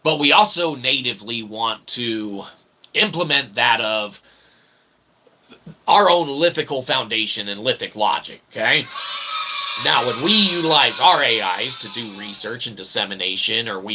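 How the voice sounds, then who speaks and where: A man hosting his channel in a rambling promotional monologue, wordy and pseudo-technical, animated, often tagging his sentences with a check for agreement. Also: medium pitch, moderate pace, background noise. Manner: wordy pseudo-technical talk with repeated tag questions. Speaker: channel host.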